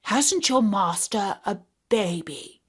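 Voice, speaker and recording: A woman voicing a wise, helpful male wild boar in a storybook tale, laying out advice in a measured way. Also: medium pitch, animated, breathy edge. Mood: confident, scheming.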